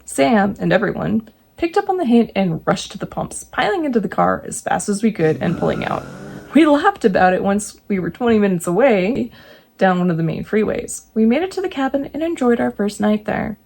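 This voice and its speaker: Woman reading ghost stories, raspy gravelly voice, lively pitch, jokey asides. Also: fairly quick. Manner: animated, jokey asides between read passages.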